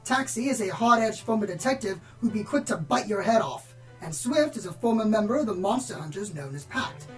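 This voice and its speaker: A woman's voice: medium pitch, a little mellow, close to an everyday voice, poor audio. A woman playing a bookish, slightly prim pony book reviewer in a radio play, speaking formally and articulately. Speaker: on-air book presenter.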